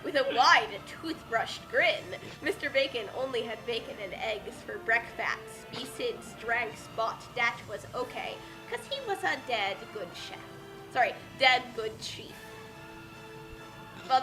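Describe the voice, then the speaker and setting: A high-voiced woman performs a fan fiction story for laughs, taking her time over it. She leans into the words with exaggerated emphasis, and music plays behind her. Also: measured pace, poor audio. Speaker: dramatic reader of fan fiction. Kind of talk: theatrical comic reading of a badly written story, with banter.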